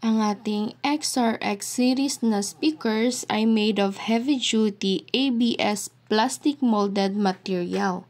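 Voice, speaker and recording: A woman's voice: medium pitch, takes it slowly, hardly any pauses, darkish tone. A woman with a Filipino accent presenting a product update, speaking directly to viewers in an explanatory way. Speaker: product presenter for an audio brand. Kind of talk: product update video presentation, semi-scripted.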